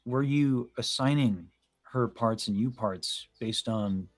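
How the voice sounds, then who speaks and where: A man's voice: medium pitch, takes it slowly. A young man hosting a casual chat, explaining vocal technique in an analytical, detailed way. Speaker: host, vocal coach. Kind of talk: casual video-call chat about singing.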